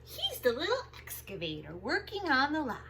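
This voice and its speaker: A woman reading aloud to young children as a storytime host, expressive and sing-song, drawing out the sound words. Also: sits high, normal speed, slight background noise. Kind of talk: animated picture-book read-aloud for young children.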